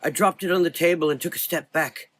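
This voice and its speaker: Man acting a haunted, stammering old doctor, higher-pitched. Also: a bit bright. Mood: tense, awed. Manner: anguished, halting, stammering. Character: frightened, guilt-ridden blind old doctor, haunted and pleading.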